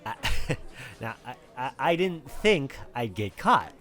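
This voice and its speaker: A man's voice: mid-range voice, sing-song, slow, a bit bright. A man playing a fussy, nervous accountant on the run, stammering and politely persistent in an acted film script read. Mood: sheepish, curious. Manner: hesitant, stammering, earnestly nagging.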